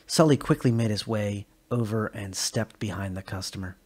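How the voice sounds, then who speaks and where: A man reading a sci-fi short story aloud as narrator, expressive with small slips. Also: lowish voice, moderate pace. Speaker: reader.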